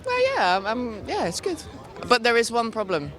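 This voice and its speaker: A woman's voice: on the high side, huge pitch swings, moderate pace, fairly bright tone. A woman from northern England with a Northern English accent, chatting casually in an outdoor interview. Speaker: market visitor being interviewed. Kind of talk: casual chat. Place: outdoors at an urban market.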